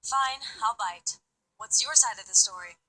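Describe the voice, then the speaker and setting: A woman's voice: medium pitch, a little slow, pushed and bright, rough recording. An actress playing a curt, distrustful female witness in a visual novel game, coldly dismissive. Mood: grudging.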